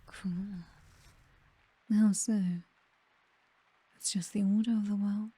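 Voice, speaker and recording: A woman with a British accent playing a possessive, seductive vampire mistress in a whispered, breathy ASMR roleplay, doting yet condescending and coolly menacing. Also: mid-range voice, fairly even intonation, slow, dark tone, dry recording. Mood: cold, matter-of-fact.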